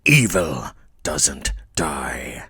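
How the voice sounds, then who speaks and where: A man voicing a cold, arrogant undead killer in a horror game, speaking slowly and ominously in a husky, gravelly voice. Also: rumbling low, big pitch swings, bright, forceful tone, no echo, studio quality, heavily acted. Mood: cold menace. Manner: slow, ominous, deliberate. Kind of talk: villain voice lines for a horror game.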